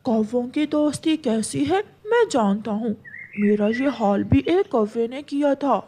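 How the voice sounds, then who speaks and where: A woman's voice: on the high side, animated, unhurried, mellow, a little hiss or hum. A woman voicing a dried-up male tree in a cartoon, humble, weary and earnest. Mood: plaintive.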